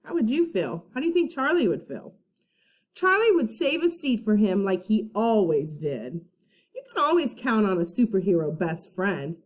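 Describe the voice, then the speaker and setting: A woman teacher reading a children's storybook aloud with dramatic emphasis, pausing to put questions to young listeners. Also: mid-range voice, big pitch swings. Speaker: first-grade teacher.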